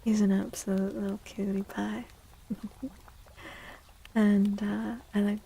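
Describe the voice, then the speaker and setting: A woman livestreamer chatting in a soft, breathy ASMR style, gentle and unhurried, often dropping into whispers close to the microphone. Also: mid-range voice, poor audio.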